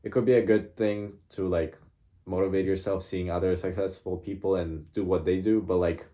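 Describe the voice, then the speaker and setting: A man's voice: low voice, fairly even intonation, moderate pace, mellow. Rambling young man. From grew up in Russia from childhood. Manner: rambling, filler-heavy, laughs at himself. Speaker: vlogger. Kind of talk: casual personal monologue.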